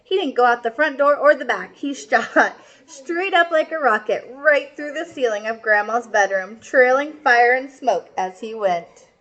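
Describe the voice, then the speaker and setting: A woman's voice: fairly high pitch, lively intonation, a little slow, a bit bright, lo-fi sound. A woman storyteller reading a picture book aloud for children, with casual asides.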